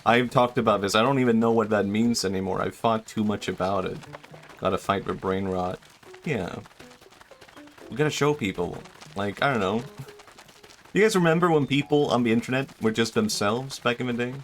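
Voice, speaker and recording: A man's voice: on the low side, moderate pace, a little hiss or hum. Young man musing aloud while gaming. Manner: rambling and self-reflective, trails off, many fillers and restarts. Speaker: streamer. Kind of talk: casual chat while gaming.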